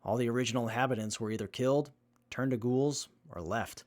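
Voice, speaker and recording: Man as an earnest young techie survivor, mid-pitched. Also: darkish tone, close to an everyday voice. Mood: grave. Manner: measured, methodical, earnest. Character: earnest, capable young male tech-savvy survivor. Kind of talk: post-apocalyptic audio drama acting.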